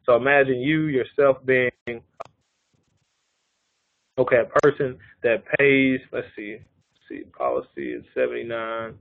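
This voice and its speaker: A man's voice: mid-range voice, expressive, a little slow, vocal fry, pauses often, no echo. A man in his thirties presenting life insurance figures on a live conference call, explaining step by step and often checking in with his listeners. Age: 30s. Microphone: phone or call line. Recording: narrow phone-line audio.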